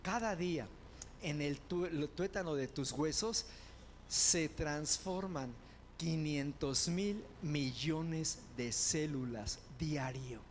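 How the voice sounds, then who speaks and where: A man preaching a sermon as pastor, building to loud fervent proclamations and dropping into quick conversational asides. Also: on the high side, slight background noise.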